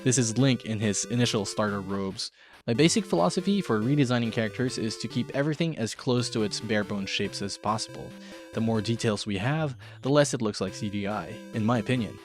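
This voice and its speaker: A man's voice: lowish voice, expressive, brisk. A man hosting a casual, narrated art tutorial in a chatty, informal, self-deprecating way. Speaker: host of an art tutorial show. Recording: background music under voice. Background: music.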